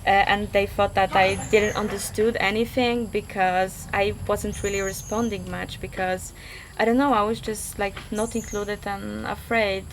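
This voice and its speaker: A woman's voice: mid-range voice, level tone, moderate pace, poor audio. Polish accent young woman chatting in an interview, lots of uh and like, a bit of noise behind. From Poland. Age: 20s. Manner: hesitant, many fillers and restarts. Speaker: guest. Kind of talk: casual interview.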